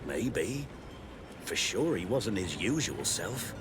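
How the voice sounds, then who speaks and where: A man's voice: low voice, big pitch swings, slow pace, noisy background, full character voice. An actor playing a shaken, defensive seafaring crewman in a fantasy game, rueful and old-fashioned in his turns of phrase. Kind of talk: video game dialogue acting.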